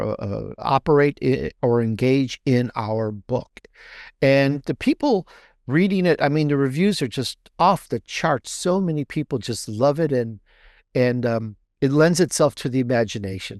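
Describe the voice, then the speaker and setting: A man talks casually as an interview guest. His voice sits at a middle pitch and the sound is dry, with little room. He is emphatic and enthusiastic, often repeating a phrase to stress it. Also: a little mellow, no echo. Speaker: guest author and podcaster.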